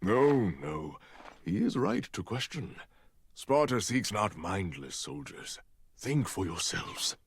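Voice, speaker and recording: An actor playing an authoritative older Spartan mentor with a husky voice and measured, theatrical delivery in a video game. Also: male voice, fairly low pitch, sing-song, no room sound, studio quality, exaggerated voice. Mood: thoughtful authority. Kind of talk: scripted video game drama.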